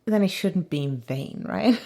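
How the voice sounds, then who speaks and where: A woman with a Swedish accent, an actress chatting on a commentary track, quick to agree and often laughing. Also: medium pitch, animated, dark tone. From Sweden. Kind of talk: casual commentary chat.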